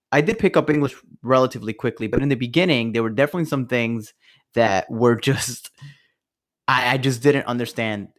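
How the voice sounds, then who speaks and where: Italian-born man telling stories, animated. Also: mid-range voice. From Italy. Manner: animated storyteller, laughs while telling stories. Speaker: podcast co-host. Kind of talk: casual chat.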